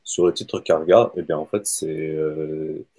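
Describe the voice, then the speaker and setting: A man's voice: very deep voice, fairly even intonation, slow pace, dark tone, husky. A man from Kazakhstan, a native Russian speaker, answering interview questions about his band's songs, thoughtful and hesitant with many fillers. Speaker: band musician.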